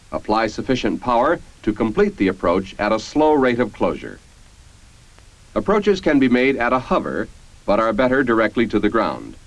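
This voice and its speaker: An American man reading scripted 1950s military training-film narration in a formal, measured, instructional manner. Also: mid-range voice, no echo. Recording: dull, band-limited 1950s film soundtrack.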